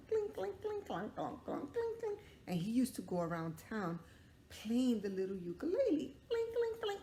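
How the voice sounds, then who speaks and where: A woman's voice: a little high, warm tone. A woman telling a story to young children like a teacher, encouraging them with questions and acting out little sound effects. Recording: roomy echo, clear background noise.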